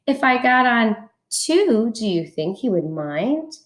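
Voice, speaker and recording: Small storybook spider voiced by a woman, genteel, eager, raised pitch. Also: sing-song, takes it slowly, roomy sound. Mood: hopeful. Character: small polite tree spider, eager and joyful.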